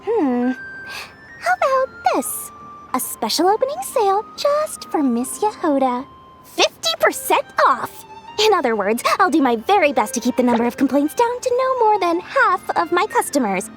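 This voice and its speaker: A woman's voice: very high-pitched, big pitch swings, normal speed, soft background music. A woman voicing a small, brash, greedy female merchant in a video game, with slick theatrical sales patter. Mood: sly, wheedling.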